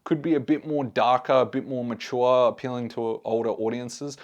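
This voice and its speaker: A man's voice: mid-range voice, fairly even intonation, normal speed, highs cut off. A man hosting a casual movie review, speaking conversationally and giving his opinions to his viewers. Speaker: host, film reviewer.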